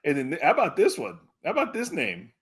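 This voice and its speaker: A man hosting a sports podcast, talking casually in a jokey, excitable way. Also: on the high side, fast, breathy edge. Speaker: podcast host.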